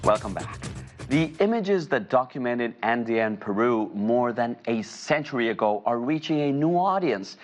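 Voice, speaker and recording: A male news anchor introduces a segment in a crisp, formal way. His voice sits at a medium pitch, and soft music plays in the background. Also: lively intonation, normal speed.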